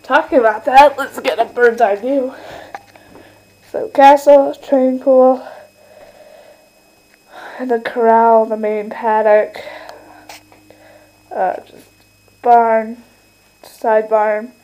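A young woman giving a casual home video tour, halting and full of fillers, filmed on a handheld camera in her bedroom. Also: a little high, level tone, a little mellow, poor audio. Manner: chatty, filler-heavy, halting. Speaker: channel owner giving a toy stable tour. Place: bedroom with toy horse stables.

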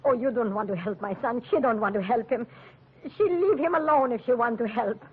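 Casting: distrustful immigrant mother, broken English, husky plaintive delivery, thin muffled radio-drama recording. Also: a little high, brisk. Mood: bitter, plaintive. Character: weary, distrustful immigrant mother of two grown sons. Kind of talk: 1940s radio acting. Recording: muffled narrow-band old radio recording.

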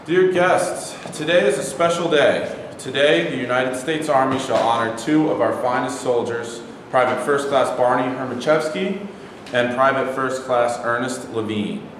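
A young actor as a formal brigadier general delivers a measured, declamatory ceremonial speech. The pitch is average for a man. The room has a long, heavy echo. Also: natural voice. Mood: solemn, formal. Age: young adult. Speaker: actor in a staged reading. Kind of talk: ceremonial speech in a play reading. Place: bare indoor room, standing. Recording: very echoey hall, faint noise.

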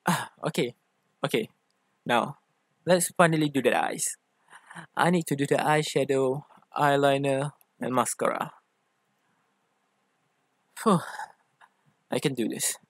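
A man voicing a playful, doting, easily jealous femboy boyfriend in an ASMR roleplay, with a teasing, expressive delivery. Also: mid-range voice, dry recording. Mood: focused, encouraging. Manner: teasing, gushing, expressive, drawn-out emphasis. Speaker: ASMR voice actor.

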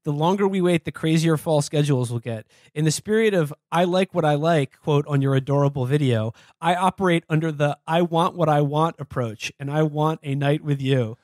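Higher-voiced American man, wry podcast host. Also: fairly quick, hardly any pauses, darkish tone, no room sound. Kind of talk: casual comedic commentary, reading messages aloud.

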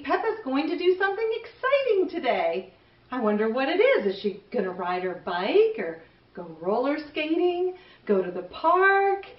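A middle-aged woman hosting for young children, reading stories in an animated way and asking the listener questions. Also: high voice, reverberant, distant mic, muffled recording. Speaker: children's show host and storyteller. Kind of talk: children's storybook reading and chat to young viewers.

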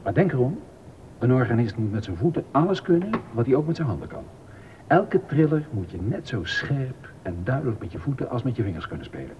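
An actor playing a stern, exacting baroque composer and organist in a docudrama, emphatic and easily indignant. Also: male voice, sing-song, brisk, close to an everyday voice. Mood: exacting, instructive. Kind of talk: docudrama radio acting. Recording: dull audio with rumbling noise.

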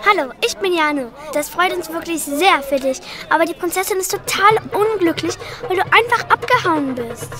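A woman's voice: very high voice, lively intonation, normal speed, long pauses. A woman voicing a polite, eager young boy in a children's radio play. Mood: friendly.

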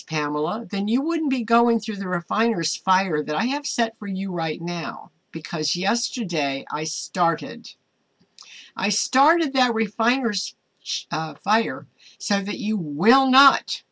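Slow-talking older American woman preaching. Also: fairly low pitch, fairly bright tone. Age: older, 60s or 70s. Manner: halting, earnest. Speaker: online ministry host. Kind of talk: informal devotional talk to camera, part preaching. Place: small home office, indoors.

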